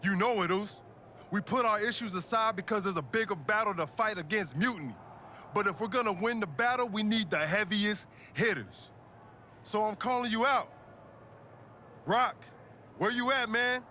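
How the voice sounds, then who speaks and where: A man's voice: high voice, moderate pace, sharp tone, slight background noise. A man playing a fiery pro wrestler in a video game, speaking slangy, punchy lines with emphasis. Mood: fired up. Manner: slangy, punchy, emphatic delivery in short bursts. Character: fiery pro wrestler rallying his allies. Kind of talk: wrestling promo and video game cutscene acting.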